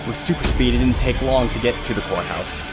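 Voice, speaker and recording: A man's voice: medium pitch, fairly quick. A man reading scripted story narration for an audio drama. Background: music, guitar.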